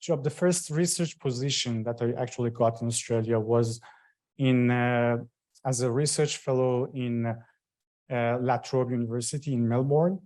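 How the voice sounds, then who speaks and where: Iranian-born man, forties; modest, hesitant lecturer, mid-pitched. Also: fairly even intonation, unhurried, darkish tone. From Iran. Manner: hesitant, modest, with frequent fillers. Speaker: guest lecturer. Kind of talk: formal lecture opening.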